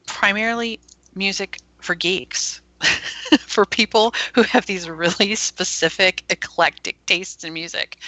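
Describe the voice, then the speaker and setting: A woman's voice: on the low side, bright, forceful tone, no room sound, poor audio. A woman who runs an internet radio station, chatting as a guest with frequent laughs and plenty of enthusiasm. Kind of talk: casual interview chat.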